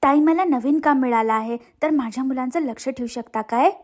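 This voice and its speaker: A woman voicing a gentle, pleading poor mother in a cartoon moral story. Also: sits high, a little fast. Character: gentle, poor mother entrusting her daughters.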